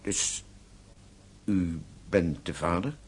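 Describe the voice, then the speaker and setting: An actor playing a courteous, solemn French innkeeper in a radio play, polite and hesitant, with a husky voice. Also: male voice, sits low, drawn-out words, exaggerated voice. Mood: grave, reluctant. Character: courteous, grave French innkeeper breaking bad news.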